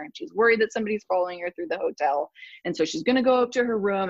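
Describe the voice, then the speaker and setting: A woman telling stories in a casual interview, animated, laughing often and filling with "like". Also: medium pitch, no echo, lo-fi sound. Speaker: guest.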